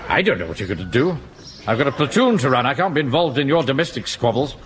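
An actor playing a pompous, impatient middle-aged English army captain, exasperated and commanding, in a radio sitcom. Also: male voice, medium pitch, very animated, quick pace, a bit bright. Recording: noisy old radio recording.

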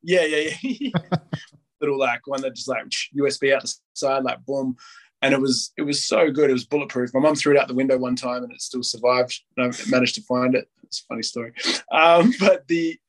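A man's voice: mid-range voice, a little fast, low-quality recording. A man, chatting casually on a podcast, rambling with lots of "like" and acting out little quotes. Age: late 20s. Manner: rambling, heavy use of "like", acts out quotes.

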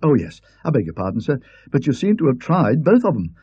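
A man's voice: mid-range voice, wildly swooping pitch, brisk, warm tone, slightly rough, no echo, pitched above the performer's own voice. A man doing a chatty young maid, meek and humble. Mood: deferential. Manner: humble servant's speech, ungrammatical. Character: young female hotel maid, deferential and chatty. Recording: dull lo-fi recording.

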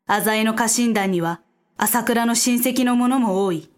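A woman narrating a Japanese historical drama from a script in a solemn, deliberate storytelling manner. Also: a little high, close to an everyday voice.

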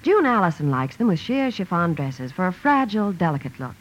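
Lively low-voiced woman in vintage radio ad. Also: a little fast, lo-fi sound. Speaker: commercial announcer. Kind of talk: 1950s radio advertisement, scripted.

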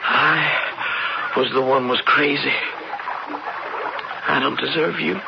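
Slow, creaky dying outlaw. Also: male voice, mid-range voice, fairly even intonation. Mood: anguished, remorseful. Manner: halting, anguished. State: weak, in pain. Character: feverish, dying, remorseful young male outlaw. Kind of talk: old-time radio drama acting. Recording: dull, very noisy vintage radio recording.

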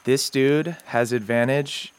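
A man's voice: mid-range voice, fairly even intonation, slow, smooth. A man acting as game master, narrating a tabletop game casually in an informal, slangy way.

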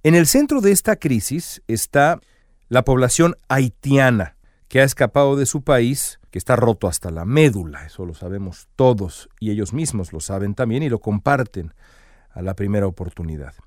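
A man's voice: mid-range voice, lively intonation, moderate pace, dry recording, clean studio sound. A man delivering earnest, emphatic news commentary as the show's host.

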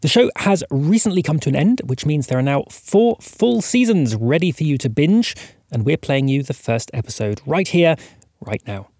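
Male podcast host, slightly high husky voice, animated intonation, dry close sound. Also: normal speed, no echo. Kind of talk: podcast introduction, partly scripted.

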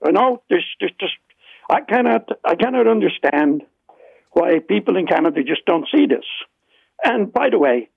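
A man's voice: sits high, sing-song, moderate pace, gravelly, husky, no room sound. A man with an Irish accent calling in to a radio talk show, speaking emphatically and insistently. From Ireland. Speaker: caller. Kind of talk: phone-in call. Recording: tinny phone line.

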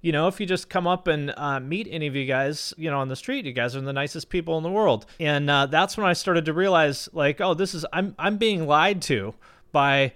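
Guy hosting a podcast, slightly high voice, chatty and informal, says like and you know a lot. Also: brisk, a bit bright. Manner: conversational, frequent "like" and "you know". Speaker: podcast host. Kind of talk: casual interview chat and sponsor read.

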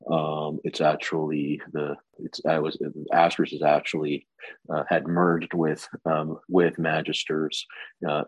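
An American man answering interview questions about his career, speaking in a measured way with frequent fillers and restarts. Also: extremely low pitch, breathy edge, no echo, lo-fi sound. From United States. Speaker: guest, lawyer.

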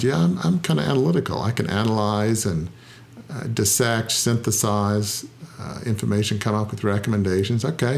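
A middle-aged man with a fairly low voice speaks in a reflective interview. He is thoughtful and self-deprecating, pausing often with "uh" to gather his thoughts. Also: vocal fry. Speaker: founder and interview guest.

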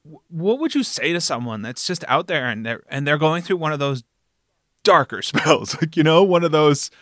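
Podcast interviewer, male, bright forward voice, dry close sound, casual and conversational, checks in often. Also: a little high, normal speed, no echo. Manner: animated rhetorical check-ins, often ending phrases with "right?". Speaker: podcast interviewer and fiction podcast creator.

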